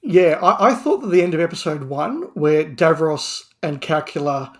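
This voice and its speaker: A man in a casual podcast discussion, talking as a guest panellist and telling things in an animated way. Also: a little high, moderate pace.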